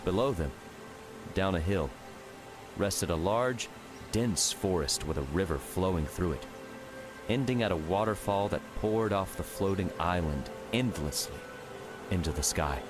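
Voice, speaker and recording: A man's voice: low voice, lively intonation, a little slow. A man reading scripted fiction narration as an audiobook narrator. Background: music.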